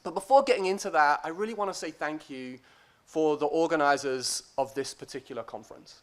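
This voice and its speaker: A man giving a conference lecture as a guest academic, speaking in a deliberate, hesitant, self-deprecating way. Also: a little high.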